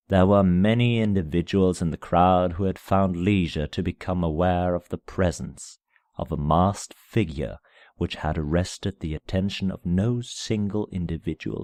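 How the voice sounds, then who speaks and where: A man with a fairly low voice narrates a gothic tale in a dry, close sound. His delivery is measured and theatrical, with solemn weight and hushed drops. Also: dry recording. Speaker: amateur reader of a short story. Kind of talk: dramatic scripted narration of a gothic horror tale.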